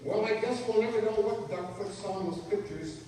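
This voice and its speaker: High-voiced radio police detective played by a man. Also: a little fast, dark tone, close to an everyday voice. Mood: inquiring. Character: brisk, methodical male police detective piecing clues together. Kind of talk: old-time radio crime drama acting. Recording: echoey hall, far from mic, clear noise.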